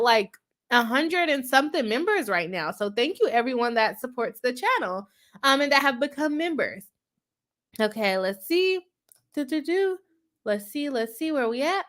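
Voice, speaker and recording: A woman's voice: high voice, bright, forceful tone. A woman attorney hosting a live stream in casual chat, talking through a stuffy, congested nose and laughing often between remarks.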